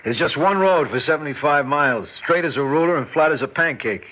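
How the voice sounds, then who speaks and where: An actor playing a rough, grieving and angry truck driver in a 1950s radio drama. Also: male voice, fairly high pitch, animated, brisk, lightly acted. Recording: dull old radio recording.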